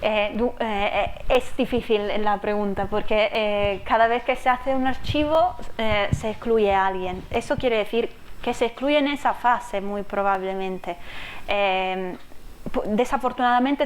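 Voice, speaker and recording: A woman researcher answering audience questions about her project in a hesitant way, with many fillers and restarts. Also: medium pitch. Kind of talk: academic talk, answering questions.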